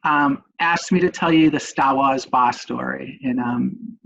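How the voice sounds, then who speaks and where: A middle-aged man with a Boston accent, a nurse presenting in a webinar, talking haltingly with many ums. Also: medium pitch, gravelly, fairly bright tone, lo-fi sound. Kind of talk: webinar talk.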